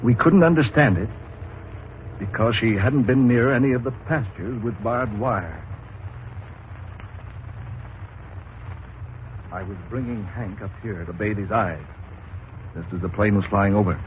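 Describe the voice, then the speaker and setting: Actor as an intense farmer on tinny old radio. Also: male voice, lowish voice, normal speed, lightly acted. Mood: haunted recollection. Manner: intense, urgent, dramatic delivery. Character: agitated male farmer obsessed with a prophetic dream. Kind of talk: old-time radio drama acting. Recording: scratchy, narrow-band old radio broadcast.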